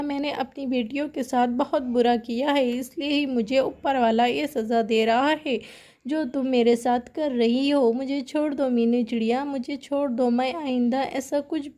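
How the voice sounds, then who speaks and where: Woman as a high-pitched begging male crow. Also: mellow, close to an everyday voice. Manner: pleading, repetitive begging. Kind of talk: Hindi cartoon story dialogue. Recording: long hall reverb.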